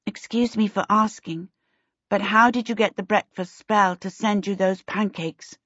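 A woman's voice: medium pitch, steady tone, unhurried, fairly bright tone, no room sound, dull audio, voice pushed up. A woman voicing a polite, self-doubting teenage girl in an audiobook, earnest and hesitant. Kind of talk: audiobook character voice.

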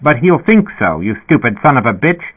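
A man's voice: medium pitch, very animated, a little fast, slightly rough, voice pushed up. A man voicing a fussy male police dispatcher who sputters over an intercom. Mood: exasperated. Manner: sputtering, exasperated. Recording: tinny phone-like sound.

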